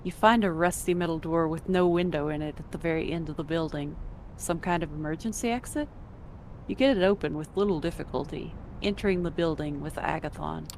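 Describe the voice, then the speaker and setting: A woman's voice: sits low, fairly quick, darkish tone, a little hiss or hum, rough recording. A woman narrator reading scripted second-person story narration for an amateur game drama.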